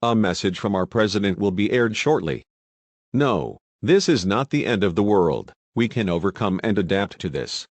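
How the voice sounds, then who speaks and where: A man's voice: on the low side, animated, normal speed, no room sound. A man playing a serious weather forecaster reading out emergency warnings. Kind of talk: mock weather bulletin.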